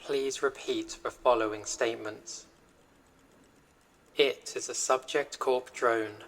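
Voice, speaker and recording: A man voicing an impassive corporate AI in an audio roleplay, reciting directives in a formal, measured, impersonal way. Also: medium pitch, steady tone, fairly bright tone. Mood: impassive, instructive. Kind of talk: scripted audio roleplay announcement.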